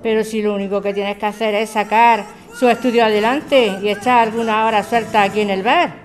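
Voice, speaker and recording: A woman's voice: medium pitch, steady tone, fairly quick, close to an everyday voice. A woman with a Spanish accent from Spain playing a weary, fed-up bar owner in a scripted radio drama. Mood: exasperated. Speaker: actor in an audio drama.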